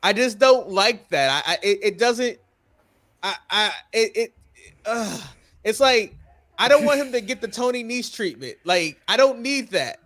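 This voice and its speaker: A man's voice: high-pitched, lively intonation, measured pace, a bit bright. An American man hosting a casual podcast chat, opinionated and emphatic, repeating phrases to drive his point home. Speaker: wrestling podcast host.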